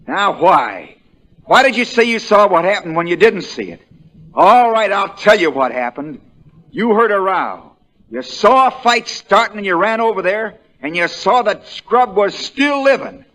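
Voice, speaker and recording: Folksy American lawyer, swooping pitch. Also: male voice, big pitch swings, a little slow, fairly bright tone, exaggerated voice, low-quality recording. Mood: fierce, accusing. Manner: drawling folksy understatement that builds into hammering accusation. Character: shrewd, folksy country defense lawyer, relentless in cross-examination. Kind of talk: 1940s radio drama acting.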